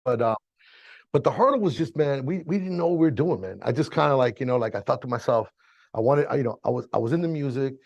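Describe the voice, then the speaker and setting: A middle-aged American man tells stories as an interview guest. He talks very quickly in a gravelly, creaky voice, with loose slang, through a slightly dull, lo-fi mic. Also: medium pitch, lively intonation, a little mellow. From Miami, raised there, born in Chile. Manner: slangy, informal, frequent "you know" and "bro". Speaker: interview guest, music engineer. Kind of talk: casual storytelling.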